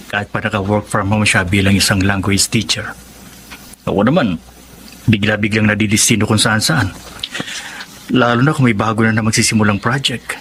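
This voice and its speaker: Casting: male actor for responsible, worried Filipino husband, lowish voice, sober radio drama read, background ambience. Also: fairly bright tone, a little hiss or hum, close to an everyday voice. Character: dutiful, worried husband and son, father of children. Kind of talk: Tagalog radio drama acting.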